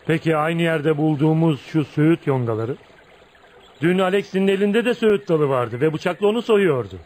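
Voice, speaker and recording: A man's voice: a little high, lively intonation, moderate pace, a little mellow, close to an everyday voice. An actor playing a brooding, jealous man of volatile temper in a dramatic, intense radio theatre style. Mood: bitter, pleading. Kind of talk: Turkish radio theatre acting.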